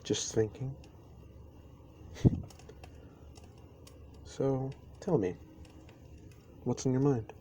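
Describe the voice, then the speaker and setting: A man playing a caring, easygoing best friend in an intimate roleplay audio, speaking softly and haltingly to the listener. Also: medium pitch. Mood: gently concerned. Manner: halting, unhurried, gentle. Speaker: amateur audio roleplay performer. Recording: steady ambient noise behind voice. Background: campfire ambience.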